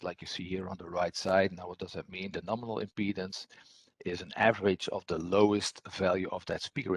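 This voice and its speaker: A man presenting a technical webinar as an engineer, with a husky voice and hesitant, repetitive phrasing. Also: deep voice, no room sound.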